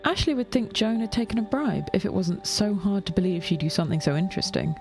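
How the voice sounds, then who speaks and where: A woman reads scripted fiction as narrator, with measured delivery and dry wit. Her voice has a clear creak at a middle pitch, and faint music plays behind her.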